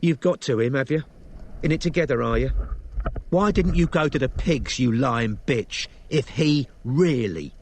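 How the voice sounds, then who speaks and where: Brawling, foul-mouthed young mother, read by a male narrator in an audiobook; raised voice, sharp pitch swings. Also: expressive, a little slow, slight background noise. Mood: furious. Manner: snarling, swearing, shouting.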